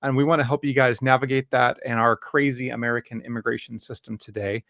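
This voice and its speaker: An upbeat man hosts a webinar interview over a video call. His voice sits at a middle pitch. The sound is dry and close, and he leans on "uh" and "um" as he talks. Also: level tone, fast talker, gravelly, a bit bright, no room sound. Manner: upbeat, fillers. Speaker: host. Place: Dallas, Texas.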